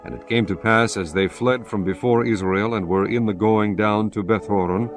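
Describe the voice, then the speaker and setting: A man narrating scripture in a measured, solemn way. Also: fairly low pitch, faint music behind.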